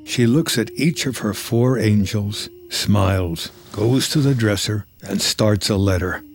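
A man narrating a film script in the present tense with measured, descriptive delivery over ambient sound. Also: lowish voice, gravelly.

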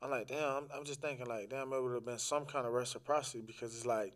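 A man's voice: mid-range voice, steady tone, quick pace. A man talking casually as a podcast guest, telling a personal story in informal, slangy, sometimes profane language, acting out quoted dialogue and repeating phrases for emphasis.

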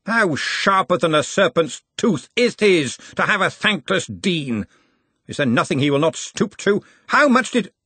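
Ridcully, a booming old wizard, put on by a man. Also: very animated, a little slow, bright, forceful tone, husky, no room sound, exaggerated voice. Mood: indignant. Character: blustering elderly male wizard head of a university.

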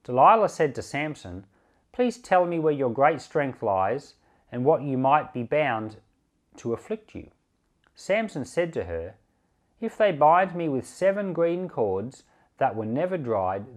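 A man reading scripture aloud for a podcast in a measured, deliberate, expressive storytelling manner. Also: medium pitch. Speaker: pastor and Bible reader.

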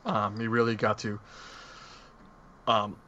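A man's voice: on the low side, flat delivery, takes it slowly, pushed and bright. A man co-hosting a casual podcast, chatting conversationally about movies. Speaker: podcast co-host. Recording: dull lo-fi audio, faint noise.

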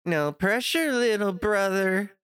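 A woman's voice: medium pitch, expressive, takes it slowly, clear, resonant tone, no room sound, clean studio sound. A woman playing a cocky young farm boy, a teasing older brother, in an audio drama.

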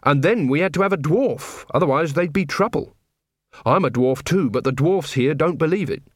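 A man voicing an earnest, polite young male constable in a storybook, calm and guileless. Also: on the high side, no echo, clean studio sound. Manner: polite, mild, unhurried.

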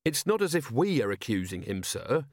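A man's voice: mid-range voice, sing-song, normal speed, no room sound, studio quality. A man voicing a literal-minded police captain, respectful, cheerful and matter-of-fact, in an audiobook story. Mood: earnest, respectful.

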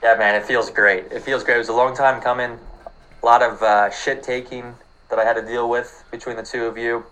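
A man's voice: medium pitch, little pitch movement, a little fast, bright, forceful tone, poor audio. A man co-hosting a casual sports betting podcast, laid-back and full of fillers, swearing casually. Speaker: podcast co-host.

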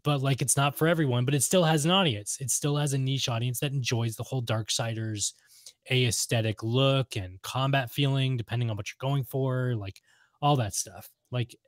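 A man's voice: medium pitch, normal speed, dry recording, clean studio sound. A man co-hosting a casual gaming chat, talking emphatically and repeating his points with firm opinions. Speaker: podcast co-host. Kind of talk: casual chat about video games.

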